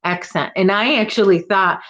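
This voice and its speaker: A woman's voice: mid-range voice, moderate pace, fairly bright tone, muffled recording. A woman with a Chicago accent hosting a casual crochet livestream from home, chatting and teasing while she works. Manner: chatty, reads viewer comments, teasing.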